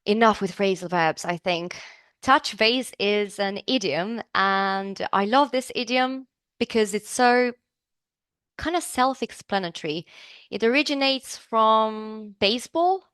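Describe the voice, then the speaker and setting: A woman's voice: medium pitch, unhurried, a bit bright, clean tone, no room sound, clean studio sound. A woman from Serbia, teaching business English in a live online class, upbeat and chatty, asking the class questions.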